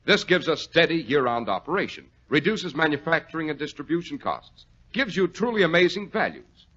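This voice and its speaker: A man's voice: a little high, big pitch swings, brisk, fairly bright tone. An American man hosting a 1940s radio show, speaking in a polished announcer's style with a salesman's delivery. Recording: dull vintage 1940s radio recording.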